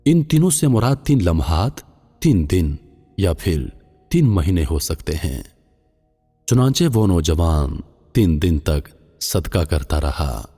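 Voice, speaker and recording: A man narrating a scripted religious moral story in a solemn, deliberate manner. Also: deep voice, very animated, dark tone, husky. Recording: long reverb, music underneath. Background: music.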